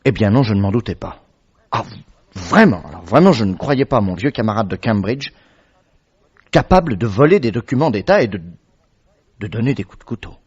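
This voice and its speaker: A man playing Watson, a deep, husky narrator. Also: big pitch swings, dull audio. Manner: measured storytelling narration. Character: Dr Watson, loyal male companion and narrator. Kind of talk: radio drama narration.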